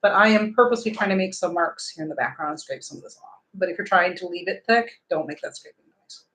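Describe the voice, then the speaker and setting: A woman hosting a casual painting stream, calmly explaining her technique as she works. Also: lowish voice, fast talker, low-quality recording. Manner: relaxed, explains technique while working, hums. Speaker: painting livestream host.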